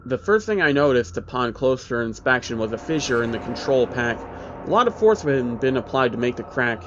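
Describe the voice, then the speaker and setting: A man's voice: mid-range voice, very animated, a little fast, a bit bright. A man reading a scripted first-person horror story as its narrator. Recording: dull lo-fi audio, faint background noise.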